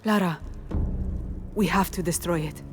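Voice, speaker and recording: A woman's voice: lowish voice, measured pace, lightly acted. A woman playing a solemn, honourable warrior of a sworn order, speaking formally in a video game cutscene. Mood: resolute. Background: background music.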